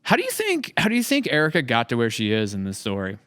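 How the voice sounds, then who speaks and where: A man's voice: medium pitch, wildly swooping pitch, quick pace, a bit bright, no echo, clean studio sound. A man hosting a casual podcast interview, wordy and thinking aloud as he phrases things. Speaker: podcast host, series creator.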